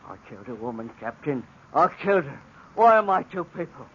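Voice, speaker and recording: A tormented simple-minded servant. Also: male voice, on the high side, sing-song, a little fast. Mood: anguished, confused. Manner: pleading, confused, rising to bitter outbursts. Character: simple-minded, tormented male servant after an old injury. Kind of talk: 1940s radio acting. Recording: dull old radio broadcast recording with faint hiss.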